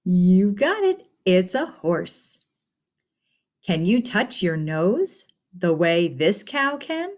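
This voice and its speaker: A woman reads a picture book aloud for young children as a teacher would. Her voice sits slightly low but moves up and down with plenty of melody. She goes very slowly and deliberately, keeping things simple and putting questions to the listener. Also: sing-song, darkish tone.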